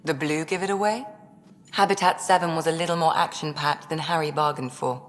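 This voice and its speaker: A woman's voice: low-pitched, fairly even intonation, brisk, big-room sound, lightly acted. A woman playing an eager, nervous alien medic and science enthusiast in a video game, hesitant yet keen. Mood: gently teasing. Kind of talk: video game dialogue acting.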